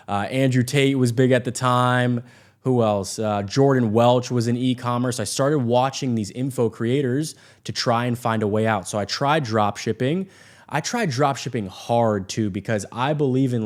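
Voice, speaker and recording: A young American man speaks off the cuff about himself to an audience, with a mid-pitched voice. He is earnest and emphatic, often repeating a phrase for weight and checking in with listeners as he goes. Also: a little mellow. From Vermont, United States. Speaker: sales entrepreneur introducing himself. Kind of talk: unscripted personal story told to an audience.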